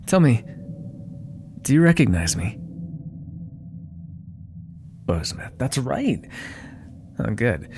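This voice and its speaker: A man's voice: medium pitch, very animated, a little slow. Man acting a suave, menacing demon, crackly-voiced. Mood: teasing, approving. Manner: theatrical, condescending, coaxing, with whispered asides. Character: suave, menacing, proud male demon dealmaker. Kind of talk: dramatic ASMR roleplay.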